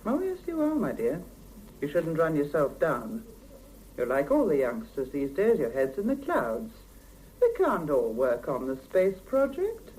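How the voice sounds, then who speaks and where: An actress in a 1970s radio drama playing a brisk, earnest seventy-year-old Soviet woman who mothers and admonishes her juniors, on an old cassette recording. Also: low voice, wildly swooping pitch, darkish tone, a little hiss or hum, heavily acted, low-quality recording. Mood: earnest, reassuring.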